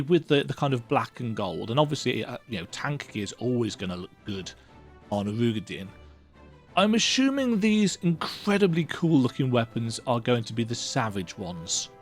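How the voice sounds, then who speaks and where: A man giving rambling, chatty commentary as he plays through a video game. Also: mid-range voice. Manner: rambling, self-interrupting, chatty. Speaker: gaming YouTuber. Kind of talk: casual game playthrough commentary.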